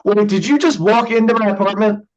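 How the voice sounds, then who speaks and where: A man's voice: sits high, fairly quick, natural voice. A young man playing a blunt, sardonic and wounded son and younger brother in a staged reading of a play, recorded in lo-fi. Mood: flustered, sardonic. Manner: hesitant, blunt, swearing. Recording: dull, lo-fi online recording.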